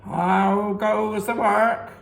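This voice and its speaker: A woman voicing a lazy, fat old male farmer who calls out slowly and loudly in a high voice. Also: flat delivery, creaky, distant mic. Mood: bossy. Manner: slow, loud, high calling voice. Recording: echoey room.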